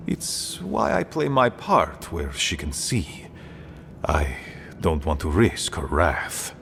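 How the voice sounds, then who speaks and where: An actor playing an aging dwarf, a weary, pragmatic conspirator speaking gravely and earnestly in a fantasy game. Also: male voice, sits low, wildly swooping pitch, slow pace, a bit bright, slight background noise, full character voice. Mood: grave, resentful. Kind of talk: dramatic game dialogue.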